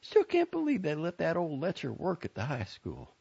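A man voicing a sharp-tongued young woman who is leaving her hometown, speaking in a dry, brisk way. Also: a little high, huge pitch swings, vocal fry, breathy edge, highs cut off, voice pushed up, full character voice.

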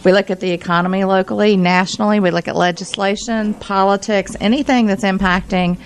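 A woman's voice: medium pitch, steady tone, brisk, clean tone. A woman radio host explaining things conversationally in a studio, articulate and emphatic.

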